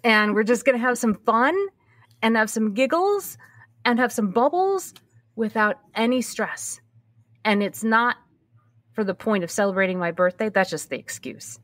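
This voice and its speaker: A woman's voice: on the high side, normal speed, fairly bright tone, dry recording. Candid woman in her fifties, dry close sound. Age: early 50s. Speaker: podcast host being interviewed. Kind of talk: candid conversational interview.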